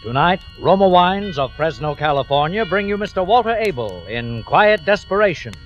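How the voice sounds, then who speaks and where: Old timey radio announcer guy, kinda high voice, super animated n emphatic, muffled w faint music. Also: moderate pace. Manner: emphatic, theatrical. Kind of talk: 1940s dramatic radio announcing.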